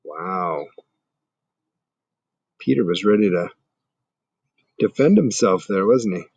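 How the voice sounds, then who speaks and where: A man's voice: sits low, expressive, slow, gravelly, darkish tone, slightly rough, no echo, muffled recording. A middle-aged man reading a children's story aloud expressively, with dramatic questioning asides to young listeners. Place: outdoors in a garden.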